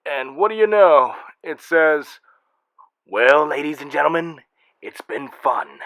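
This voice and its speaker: A snide man hosts in a slow, deliberate pace. His voice sits a little high with a bright, forceful edge, and the sound is dry and close. He delivers scripted comic remarks with open sarcasm. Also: expressive, no room sound. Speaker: host reading listener messages. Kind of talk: scripted comedic hosting.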